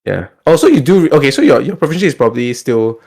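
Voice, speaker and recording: A man's voice: medium pitch, lively intonation, racing, gravelly, darkish tone, husky. A Singaporean man chatting casually as a podcast host, talkative and often repeating his words as he goes.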